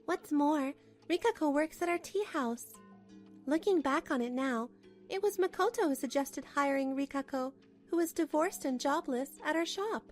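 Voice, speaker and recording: A woman's voice: high-pitched, moderate pace, a little mellow, faint music behind. A woman narrating a manga dub as the wronged wife, in a chatty, dramatic inner monologue.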